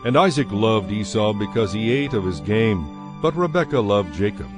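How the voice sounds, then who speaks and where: Male narrator, lower voice, solemn, measured, scripted scripture reading, clear music bed. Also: expressive.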